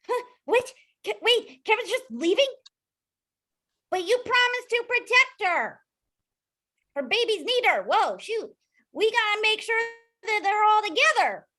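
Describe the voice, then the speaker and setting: A woman playing an earnest, excitable young boy explorer in a cartoon script read, pleading and childlike. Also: extremely high pitch, measured pace, long pauses, full character voice. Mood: distressed. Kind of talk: amateur table read of an animated film script.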